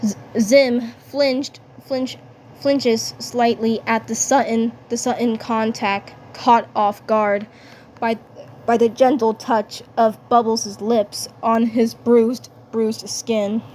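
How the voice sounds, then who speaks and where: Casting: female amateur storyteller, higher voice, very slow halting read with repeated phrases, slightly noisy home recording. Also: flat delivery, a little mellow. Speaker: fan fiction narrator and voice performer.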